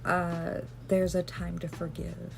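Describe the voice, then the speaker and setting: A woman vlogger rambling gently through casual self-care advice, with lots of fillers and self-deprecating asides. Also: fairly low pitch, completely flat delivery, slow, gravelly, faint music behind.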